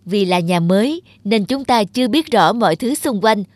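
A woman's voice: mid-range voice, expressive, normal speed, no room sound, clean studio sound, natural voice. A woman voicing a caring, cautious mother teapot in a cartoon, motherly and instructive. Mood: gently cautioning. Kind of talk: cartoon character acting.

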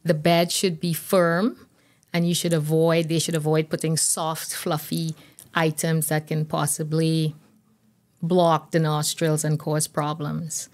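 Low-pitched woman, measured. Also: flat delivery, a little mellow. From Trinidad and Tobago. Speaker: guest pediatrician. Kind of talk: conversational interview answers. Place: small indoor podcast studio. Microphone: boom-arm podcast microphone.

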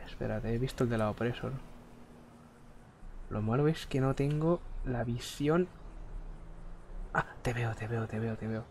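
A man from Spain chatting while he plays, rambling and crude, keeps clearing his throat, speaking into a headset mic. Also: medium pitch, a little slow, pauses often, a little mellow, a little hiss or hum. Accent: Spain Spanish. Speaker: gaming streamer. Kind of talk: casual gameplay chat. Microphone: headset mic close to the face.

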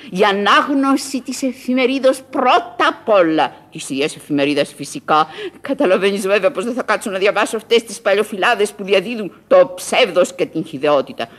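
Eccentric old Parisian madwoman, grand and whimsical, raspy voice, swooping delivery, radio theatre. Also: medium pitch. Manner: theatrical, declamatory, musing. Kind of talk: Greek radio theatre acting.

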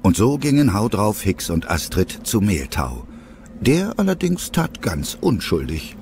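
A man's voice: on the low side, very animated, unhurried, darkish tone, faint music behind. A man narrating a scripted adventure story as an audio drama.

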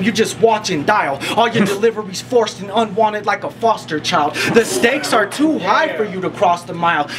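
A young man from Colorado battle rapping, spitting aggressive insults and repeating his punchlines in a crowded indoor lounge. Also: high voice. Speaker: battle rapper. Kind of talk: rap battle verses. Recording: noisy room recording.

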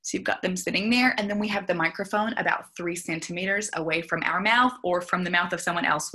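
A woman's voice: on the low side, pushed and bright. A young woman from New Zealand with a faded New Zealand accent, presenting a webinar as a speech therapist over a video call in a small room at home, in a friendly, chatty and explanatory way. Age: young adult.

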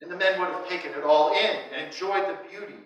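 In a very echoey church, picked up from a distance, an older American man in his sixties delivers a sermon. His voice is high for a man, and he moves through short, measured phrases with pauses between them. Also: a bit bright, dull audio. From United States. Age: 60s. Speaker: priest. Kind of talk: sermon read from notes. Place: inside a church, standing before the altar. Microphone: distant microphone, speaking through a face mask.

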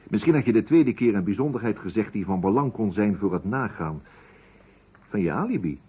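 A shrewd investigator in a vintage radio play. His voice is husky and swings widely in pitch as he questions calmly and pointedly. The recording is dull. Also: a little fast. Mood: insistent, controlled. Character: probing male investigator, calm, insistent, shrewd. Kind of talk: old Dutch radio drama acting.

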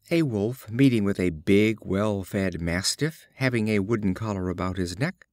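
A man narrating fables as an audiobook reader, with theatrical, deliberate phrasing. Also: fairly low pitch, darkish tone, no room sound, clean studio sound. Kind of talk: scripted storybook narration of fables.

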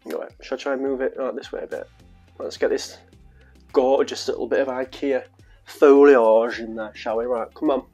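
A man's voice: medium pitch, normal speed, darkish tone. Young northern english guy, vlogger, playful chatty rambling, lapel mic, faint music behind. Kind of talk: casual chat to camera. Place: small room at home, later outdoors in a park.

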